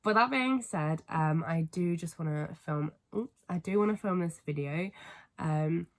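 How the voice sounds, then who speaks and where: A woman's voice: lowish voice, unhurried. A woman in her twenties with a cold, her voice stuffy and sniffly, chatting casually and rambling to camera about books, with lots of fillers and apologies. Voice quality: stuffy, congested. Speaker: book vlogger. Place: family home bedroom, indoors.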